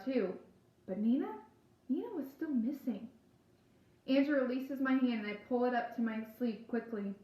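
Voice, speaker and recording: High-voiced woman reading aloud, echoey room. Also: highs cut off. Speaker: book reader. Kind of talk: reading a novel aloud, first-person narration.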